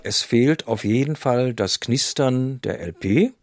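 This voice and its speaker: A man's voice: mid-range voice, slow, background noise. A man giving his opinion in an unscripted interview, sometimes searching for words.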